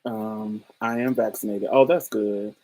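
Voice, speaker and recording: A woman hosting a casual chat, telling stories in a lively way with frequent laughter and free swearing. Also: very deep voice, unhurried, rough recording. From New Orleans, USA. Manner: animated storytelling, frequent laughter, swears freely. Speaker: host. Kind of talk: casual livestream chat with viewers.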